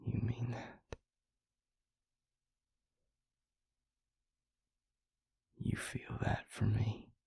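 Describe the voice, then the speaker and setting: Man acting a whispering, breathy vampire lover. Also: on the low side, completely flat delivery, a little mellow, no echo, studio quality, exaggerated voice. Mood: tender, vulnerable. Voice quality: breathy, gravelly near-whisper. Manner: halting, plain-spoken, earnest. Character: tender, earnest male vampire lover with guarded heart. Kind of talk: intimate romantic audio roleplay. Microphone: close microphone in a dry room.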